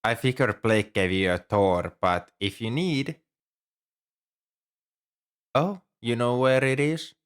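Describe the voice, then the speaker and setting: A man's voice: mid-range voice, takes it slowly, long pauses, clear, resonant tone, lightly acted. A man performing an ASMR roleplay as a shy, earnest young student librarian, speaking in a hesitant, helpful and apologetic way. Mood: polite, shy. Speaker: amateur audio roleplay performer. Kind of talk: ASMR roleplay, scripted one-sided dialogue.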